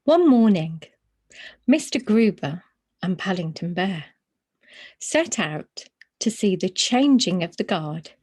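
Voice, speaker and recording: A woman's voice: mid-range voice, big pitch swings, slow pace, long pauses, darkish tone, husky. A woman narrating a children's storybook as a story reader.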